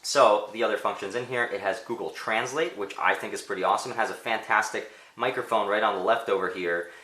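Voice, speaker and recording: A man's voice: lowish voice, steady tone, fairly quick, sharp tone. A man, a native Polish speaker, giving a casual unscripted product demonstration as a reviewer, thinking aloud in a conversational way, in a roomy indoor space. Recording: roomy, echoing indoor sound.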